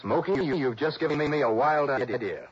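An actor playing a shrewd, urgent private investigator who thinks aloud in a 1960s radio drama. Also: male voice, mid-range voice, lively intonation, normal speed, close to an everyday voice. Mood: urgent, scheming. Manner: clipped, musing, thinking aloud. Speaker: lead actor. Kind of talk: 1950s-60s radio detective drama acting. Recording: dull, hissy old radio recording.

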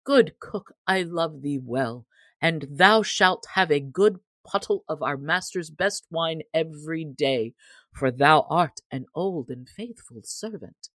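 A woman voicing a fat, scheming male steward in a storybook, aggrieved and flattering in archaic speech. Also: sits low, takes it slowly, no room sound, full character voice. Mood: wheedling.

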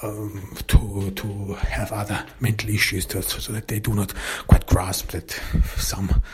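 A man whose first language is German, hosting his own podcast in casual talk, halting with many fillers and restarts. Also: on the low side, little pitch movement, darkish tone, breathy edge. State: recovering voice after illness. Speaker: podcast host and author.

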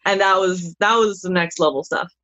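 A woman's voice: fairly low pitch, moderate pace. Laughing woman guest on a dull lo-fi line. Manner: lively storytelling, stumbles and restarts, laughs. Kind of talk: casual chat.

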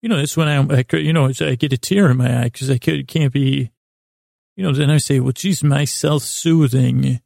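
A man with a creaky, gravelly voice hosting a sleep podcast, meandering and halting through a self-deprecating, soothing bedtime monologue to the listener. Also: mid-range voice, a little mellow, no room sound, clean studio sound.

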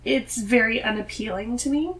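A woman's voice: a little high, moderate pace, a bit bright, poor audio. A woman in her thirties chatting casually as a guest on a podcast. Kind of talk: casual chat about books.

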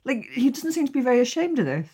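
A woman's voice: sits high, very animated, quick pace, warm tone, slightly rough. A British woman hosting a casual podcast interview, irreverent and full of exclaimed reactions. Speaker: podcast host.

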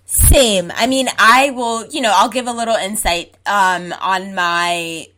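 A young woman from Zimbabwe talks in a casual chat at a mid pitch. She speaks frankly and with emphasis, leaning on "like" as she lines up her points. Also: slow pace, sharp tone, poor audio. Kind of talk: casual conversation.

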